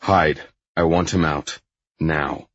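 A man's voice: extremely low pitch, steady tone, slow, fairly bright tone, slightly rough, dry recording, dull audio, full character voice. A man voicing a curt, hot-tempered male tycoon in an audiobook, growling out commands. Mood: commanding. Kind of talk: audiobook character dialogue.

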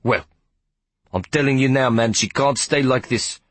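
A sour male train conductor, nasal with self-pity and quick to blame, put on by a man. The voice sits high and pushes loud, with a husky edge, recorded close and dry. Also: no echo, lightly acted. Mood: accusing. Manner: whiny, accusing, self-pitying.

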